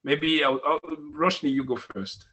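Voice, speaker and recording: A middle-aged man hosting a panel discussion over a video call from a home study, asking thoughtful, measured questions. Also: on the high side, steady tone, sharp tone, muffled recording. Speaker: host.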